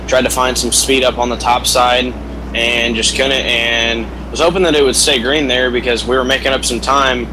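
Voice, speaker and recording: Male race driver, mid-pitched, modest and plainspoken, noisy radio link. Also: steady tone, moderate pace. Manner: modest, plainspoken with many fillers. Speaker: truck racing driver. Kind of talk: casual mid-race interview answers.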